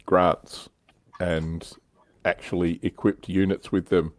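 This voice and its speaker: A man's voice: low-pitched, little pitch movement, takes it slowly, no echo. A man hosting a casual livestream discussion about a hobby, talking conversationally. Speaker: livestream host.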